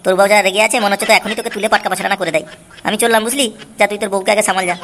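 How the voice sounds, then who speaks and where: A man's voice: high voice, steady tone, very fast, fairly bright tone. A man playing a mocking, taunting friend in a comic cartoon. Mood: amused, dismissive. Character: teasing, mocking male friend of the husband. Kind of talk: amateur comic cartoon dubbing.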